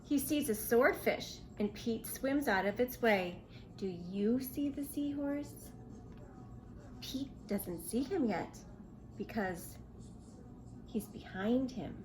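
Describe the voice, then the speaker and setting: A woman reading a picture book aloud to young children in an expressive, questioning way. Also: a little high, takes it slowly, pauses often, slight background noise, rough recording. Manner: expressive, asks the listener questions. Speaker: children's storybook reader.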